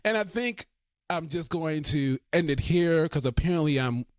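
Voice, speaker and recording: A man hosting his own podcast, reviewing a film in a relaxed, rambling way full of fillers and restarts, with his voice going hoarse. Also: on the high side, moderate pace, no echo, studio quality. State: losing his voice. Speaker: podcast host. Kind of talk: casual solo film review.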